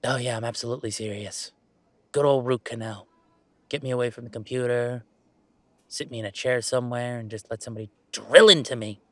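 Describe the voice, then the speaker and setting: A man acting as a playful werewolf streamer in an intimate roleplay audio drama. He grumbles and banters in a medium-pitched, natural voice, mock-defensive yet fond. The recording is dry and free of room sound. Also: brisk, a bit bright, no room sound, close to an everyday voice. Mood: relaxed, wry. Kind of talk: one-sided roleplay audio drama, conversational.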